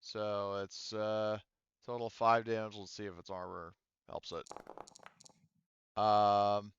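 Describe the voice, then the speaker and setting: A man acting as game master of a tabletop roleplaying game, explaining rules haltingly as he thinks aloud. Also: lowish voice, little pitch movement, a bit bright, smooth, no echo, dull audio.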